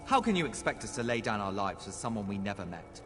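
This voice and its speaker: A man's voice: on the low side, sing-song, fast talker, a bit bright, faint music behind. A man playing a proud, hot-headed elf soldier in a video game, scornful and confrontational. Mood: defiant.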